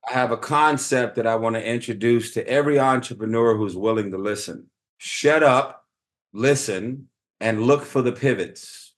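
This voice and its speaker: A man from South Central Los Angeles, a guest talking in a lively, profane, storytelling way. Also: medium pitch, moderate pace. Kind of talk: lively interview talk.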